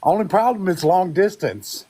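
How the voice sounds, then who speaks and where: A man's voice: sits high, animated, normal speed, a loud crowd. A man chatting and joking playfully with friends on a live stream. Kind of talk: casual group chat.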